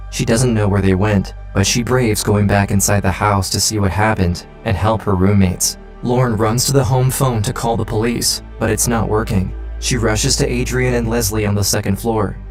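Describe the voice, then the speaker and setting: A man's voice: lowish voice, a little mellow. A man reading a dramatic scripted true crime narration. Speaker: documentary narrator.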